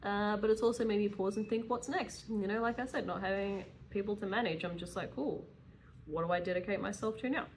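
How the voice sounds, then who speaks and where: A woman's voice: medium pitch, brisk, darkish tone, slight background noise, poor audio. A woman in her early thirties talking casually and candidly in a rambling, chatty vlog style, with self-deprecating asides. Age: early 30s. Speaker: vlogger, self-employed dog groomer.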